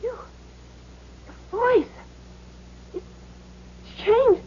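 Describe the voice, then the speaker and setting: Emotional young wife, super high voice, halting, old radio play w/ some hiss. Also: female voice, heavily acted. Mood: terrified, pleading. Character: frightened young pregnant wife, gangster's former sweetheart. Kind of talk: 1970s radio drama acting. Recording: muffled, noisy old radio recording.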